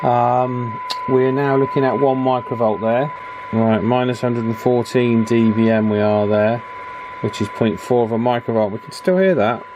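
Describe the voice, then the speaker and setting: A man giving a casual, hands-on repair demonstration, chatty and unhurried, thinking aloud as he works. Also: mid-range voice, steady tone, highs cut off, background noise. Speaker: radio repair video presenter. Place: indoor electronics repair bench.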